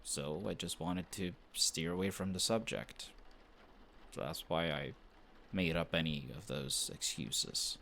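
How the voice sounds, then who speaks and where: Guy playing a deep voiced young best friend, flustered and defensive but smitten, intimate romance roleplay audio. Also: bright, forceful tone, a little hiss or hum, exaggerated voice. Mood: sheepish, confessing. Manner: defensive, bickering, halting when shy.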